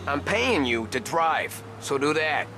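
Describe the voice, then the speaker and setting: A man's voice: on the high side, sing-song, measured pace, background noise. An actor playing a smug, impatient businessman passenger in a game cutscene, brusque and condescending. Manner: brusque, pompous.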